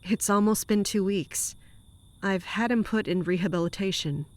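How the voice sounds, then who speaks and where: A woman playing a weary, guarded castle commander in an audio drama, with a restrained, measured and terse way of talking. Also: mid-range voice, close to an everyday voice. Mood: dry, evasive.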